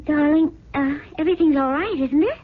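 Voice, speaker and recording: An actress playing a naive, trusting, genteel wife with a hesitant, fretful manner in a 1970s radio drama. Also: female voice, high-pitched, a little fast, a little hiss or hum, lightly acted. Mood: anxious, hesitant. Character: naive, trusting, anxious well-bred wife. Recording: muffled phone-like old radio recording.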